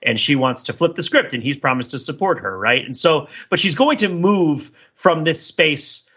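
A man with a bright, forceful and husky voice works through ideas as a podcast co-host. He is wordy and emphatic, swinging widely in pitch as he makes each point. The recording is a little dull and lo-fi. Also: expressive, normal speed. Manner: wordy and emphatic, often checking with "right?". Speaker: podcast co-host discussing a TV series. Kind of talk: casual analytical discussion among co-hosts.

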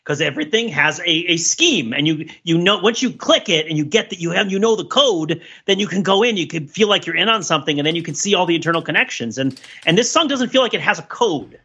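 A man's voice: high voice, animated, fast talker, few pauses, pushed and bright, husky. A middle-aged man co-hosting a podcast, chatting in a rambling, wry way on slightly lo-fi audio. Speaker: podcast co-host. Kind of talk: casual comic-analytical chat.

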